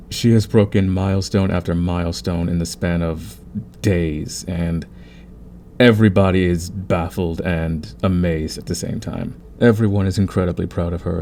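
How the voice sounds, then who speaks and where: A man's voice: low voice, vocal fry, mellow, a little hiss or hum. A man hosting his audio channel, talking casually in an outro and thanking collaborators. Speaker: audio roleplay creator, host.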